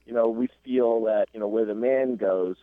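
A man's voice: medium pitch, normal speed, no room sound. A man calling into a radio show as an event organizer, speaking earnestly with many fillers. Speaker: call-in guest, event organizer. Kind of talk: casual radio chat by phone. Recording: dry tinny phone line.

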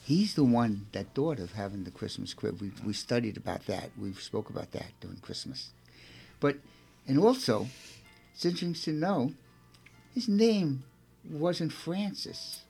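Brooklyn-accented man with a gravelly voice. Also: medium pitch, lively intonation, a little slow, darkish tone, faint music behind. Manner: chatty, laughs often, repeats and restarts. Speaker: radio show host. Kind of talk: casual radio chat and storytelling.

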